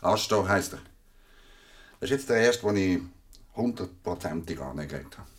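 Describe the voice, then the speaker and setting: A man's voice: low-pitched, lively intonation, moderate pace. A man playing a boastful, stubborn dog trainer in a wartime radio drama, blustering and repeating himself. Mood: gruff, matter-of-fact.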